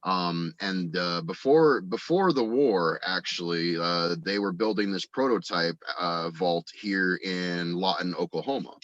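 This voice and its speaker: A man from the United States chatting casually as an interview guest, rambling and halting as he feels for his words. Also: sits low, a bit bright, no room sound. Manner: halting, rambling, frequent verbal hedging. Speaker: guest, audio drama actor and writer. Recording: dry, dull lo-fi voice recording.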